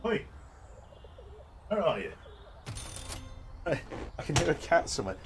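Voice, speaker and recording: A man giving gameplay commentary as a streamer, excitable and casually swearing, his voice going a little hoarse. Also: fairly high pitch, unhurried, over music. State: losing his voice.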